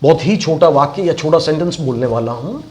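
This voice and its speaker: A man's voice: a little high, animated, fairly quick, a little mellow, a little hiss or hum. A man with an Indian accent giving a bilingual classroom lecture as a voice and accent teacher, didactic and repeating key phrases.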